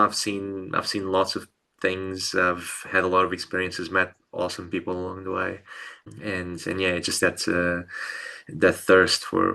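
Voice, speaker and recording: A man's voice: low-pitched, gravelly, bright, forceful tone. A young man from Portugal, a podcast guest telling bike travel stories in a hesitant way, often repeating words. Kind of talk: casual storytelling interview.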